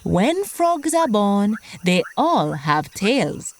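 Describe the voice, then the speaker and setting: Animated, slow-paced woman storyteller. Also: medium pitch. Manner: expressive, addresses young listeners directly. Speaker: host and storyteller of a children's podcast. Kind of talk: scripted children's storytelling.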